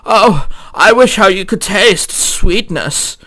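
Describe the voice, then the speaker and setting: A man voicing a greedy, gloating evil villain for a visual novel in a put-on German-Dutch accent, taunting in a drawn-out, theatrical way. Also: high-pitched, expressive, bright, forceful tone, full character voice, poor audio. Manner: taunting, drawn-out, ominous laughing.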